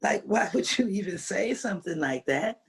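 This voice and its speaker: Gravelly emphatic older woman. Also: mid-range voice, animated, moderate pace. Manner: emphatic, repeats phrases for emphasis. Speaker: guest, veteran swing dancer. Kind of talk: animated conversational storytelling.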